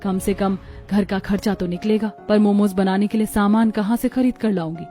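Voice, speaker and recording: Cartoon casting: female narrator as a hard-up, caring young housewife, determined and plaintive, mellow tone, music underneath. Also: mid-range voice, steady tone, normal speed, lightly acted. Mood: worried, resolved. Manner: earnest, plaintive. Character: poor, determined, caring young daughter-in-law. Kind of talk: cartoon story acting.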